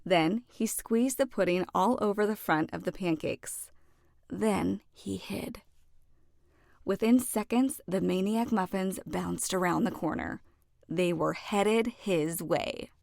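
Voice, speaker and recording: A woman's voice: mid-range voice, slow pace, creaky, a little mellow, no room sound. Woman reading a storybook, dry close sound. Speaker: children's book read-aloud narrator. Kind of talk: expressive storybook narration.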